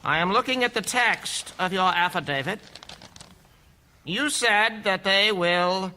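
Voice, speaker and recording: An actor playing a skeptical judge who questions a witness in a courtroom radio drama. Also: male voice, sits high, background noise. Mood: probing. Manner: measured, questioning. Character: probing, skeptical male judge.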